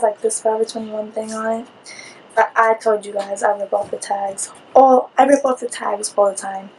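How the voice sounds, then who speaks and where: A young woman with a slightly high voice, raspy because she is sick, talking straight to the camera. She chats loosely and rambles, filling the gaps with "like". A faint hiss of room noise sits under her voice from the camera mic in a small curtained room. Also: poor audio. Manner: chatty, rambling, with many "like" fillers. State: raspy from a cold. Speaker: beauty vlogger. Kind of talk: casual haul video chat.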